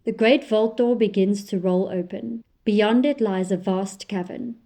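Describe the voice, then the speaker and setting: Mellow woman narrating a drama. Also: mid-range voice. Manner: measured, descriptive. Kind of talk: scripted audio drama narration.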